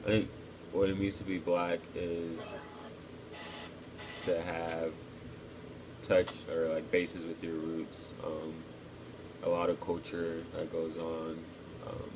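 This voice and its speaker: A man in his twenties from Texas, answering interview questions casually and thoughtfully, pausing often with ums. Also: low voice, background noise. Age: 20s. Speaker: interviewee, cadet.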